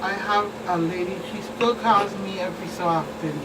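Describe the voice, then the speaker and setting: A woman recounting cases conversationally as a guest expert, in a halting, deliberate way. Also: medium pitch. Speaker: police commander, guest expert. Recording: distant mic, clear background noise.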